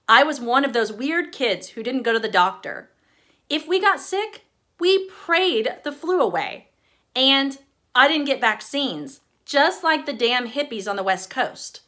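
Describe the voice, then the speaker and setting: A woman from Texas reads her own memoir aloud, a subtle Texas accent under her words. Her voice sits a little high and rings bright and clear. She tells it with wry, humorous timing. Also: animated, measured pace. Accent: slight Texas. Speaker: author.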